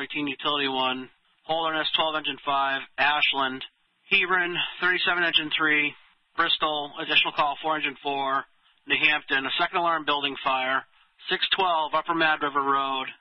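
A man's voice: a little high, fairly even intonation, moderate pace, no echo, highs cut off. A man working as a fire dispatcher, giving clipped, formal, procedural announcements and read-backs over emergency radio. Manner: procedural, reads units back. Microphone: dispatch radio transmission.

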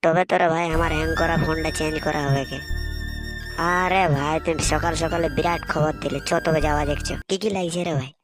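A performer voicing an excitable, grumbling village student lad in a comedy cartoon, exclaiming in a rural Purulia Bengali accent. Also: mid-range voice, a little fast, over loud music, close to an everyday voice. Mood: excited.

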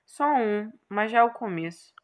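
A woman voicing a calm, literal-minded horned male magician in a game, speaking in a dry, measured way. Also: on the high side, lightly acted.

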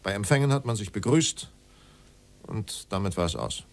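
An actor playing a middle-aged former state secretary under questioning in a radio drama, formal, terse and defensive. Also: male voice, lowish voice, lively intonation, moderate pace. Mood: guarded. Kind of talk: documentary radio drama dialogue.

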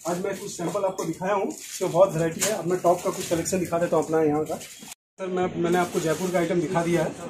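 A man in his thirties presenting clothing stock on camera in salesman's patter, mixing in English words. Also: high voice. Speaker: garment wholesaler presenting stock. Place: small indoor clothing shop. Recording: clearly noisy shop audio with clicks.

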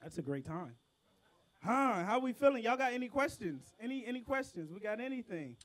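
A man, a rapper, chatting loosely and excitedly with his audience between songs at an outdoor backyard show, profane and quick in bursts. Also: very high-pitched. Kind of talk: casual banter with a live audience.

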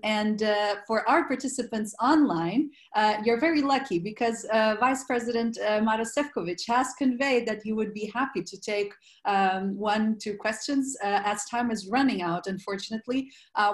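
A woman's voice: medium pitch, moderate pace. Woman, event moderator, polite with filler sounds, roomy video-call sound. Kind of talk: formal online event moderation.

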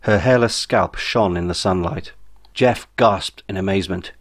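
A man's voice: deep voice, normal speed. A man reading a comic novel as scripted audiobook narration, in a wry storytelling manner. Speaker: author reading own novel.

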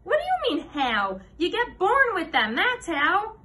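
A curt young schoolboy from a children's storybook, put on by a woman. He is blunt and incredulous, with a very high, bright, dismissive delivery. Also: a little fast, recorded from a distance.

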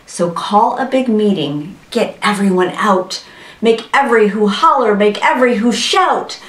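A woman's voice: mid-range voice, lively intonation, moderate pace, fairly bright tone, close to an everyday voice. A woman voicing a kind, earnest, anxious male elephant in a children's storybook, pleading and urgent. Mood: alarmed, urgent. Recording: roomy echo, distant microphone.

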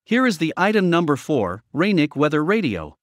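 Man narrating products, lively pitch. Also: normal speed, no room sound, studio quality. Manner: reading from a script. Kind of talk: scripted promotional voice-over narration.

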